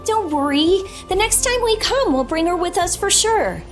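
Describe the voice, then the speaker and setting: A woman's voice: very high voice, lively intonation, normal speed, soft background music. A woman playing a reassuring, resolute young woman adventurer in a video game.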